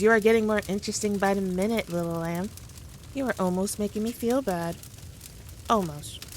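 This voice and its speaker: A woman's voice: mid-range voice, a little hiss or hum, lightly acted. A woman playing a powerful demon queen in a scripted roleplay, talking down to the listener in a teasing, dominant, condescending way. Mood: amused, teasing. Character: haughty, playful, all-powerful female demon queen.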